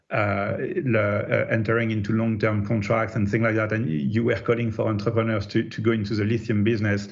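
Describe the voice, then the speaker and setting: Hesitant lowish-voiced male caller, muffled. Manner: hesitant, stop-start phrasing. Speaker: caller asking a question. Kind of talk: earnings call question, unscripted. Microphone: conference call line. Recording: muffled, lo-fi conference call.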